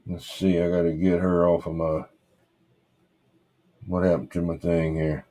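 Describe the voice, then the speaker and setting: A man's voice: low voice, little pitch movement, a little slow, mellow. A man hosting a casual, rambling livestream, muttering to himself in a folksy way as he talks. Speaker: livestream host showing vintage radios.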